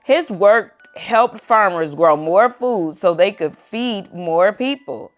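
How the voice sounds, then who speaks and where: A woman's voice: mid-range voice, lively intonation, takes it slowly. A woman reading a children's book aloud as host, using simple phrasing and speaking to a young child between passages. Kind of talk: read-aloud storytelling with simple asides to a child. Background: background music.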